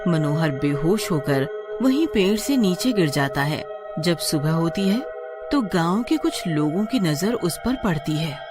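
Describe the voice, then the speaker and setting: A woman's voice: on the low side, expressive, a little slow. A woman narrating a scripted horror story as a dramatic storyteller. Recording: music playing behind the voice.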